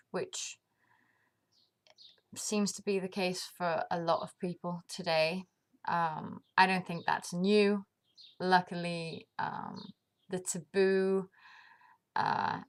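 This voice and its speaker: Slow, thoughtful woman from Denmark. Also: on the low side, clean tone. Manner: thoughtful, pauses often with ums, laughs at herself. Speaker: vlogger. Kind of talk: casual reflective monologue to camera.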